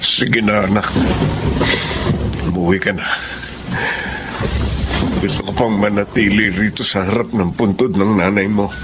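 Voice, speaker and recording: An actor playing a grieving, stubborn elderly widower in a Filipino radio drama, sorrowful and heavy-hearted. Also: male voice, on the low side, fairly even intonation, measured pace, long pauses, heavy background noise, lightly acted. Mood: resigned, sad. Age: older. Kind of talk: Filipino radio drama acting, narrating and in dialogue. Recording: loud music under the voice.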